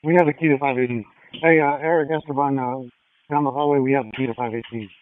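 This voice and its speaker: A man working as a police tactical officer, giving clipped, procedural radio traffic with hesitant pauses over a tinny scanner. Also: mid-range voice, fairly even intonation, clear, resonant tone, dry recording.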